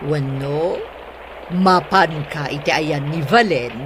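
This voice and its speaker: A woman playing a sharp-tongued, scheming middle-aged mother-in-law in an Ilocano-accented radio drama, sarcastic and exclamatory. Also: low voice, takes it slowly, background noise. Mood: concerned.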